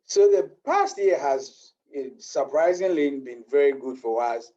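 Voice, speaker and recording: A man with a Ghanaian accent, a business owner answering interview questions in a steady, earnest way. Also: a little high, measured pace, distant mic, lo-fi sound.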